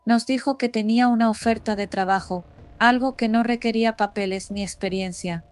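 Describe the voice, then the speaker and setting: A woman's voice: on the high side, fairly even intonation, normal speed. A woman reading a scripted first-person horror story as a narrator. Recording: voice over background music. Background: music.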